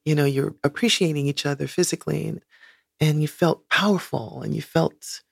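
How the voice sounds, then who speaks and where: A woman's voice: sits low, steady tone, normal speed, vocal fry, slightly rough, no echo, studio quality. A woman in her sixties, a guest in an interview, talking reflectively and searching for words with many "you know"s. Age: 60s. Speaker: guest, singer.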